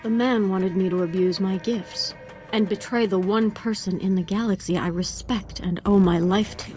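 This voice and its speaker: An actress playing a young, bloodthirsty Sith apprentice in a game, earnest and devoted to her master, with quiet menace. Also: medium pitch, fairly even intonation, moderate pace, faint music behind, close to an everyday voice. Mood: grim satisfaction. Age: young adult. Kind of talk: video game voice acting.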